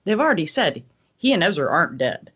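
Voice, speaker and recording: Mid-pitched podcast panelist, slightly muffled sound. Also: sing-song, quick pace, fairly bright tone, husky. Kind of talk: casual discussion. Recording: dull lo-fi podcast audio.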